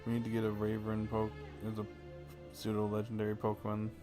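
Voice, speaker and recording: A man's voice: fairly low pitch, completely flat delivery, brisk. A man livestreaming a video game, musing aloud and reacting casually as he plays. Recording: stream with clear game music behind.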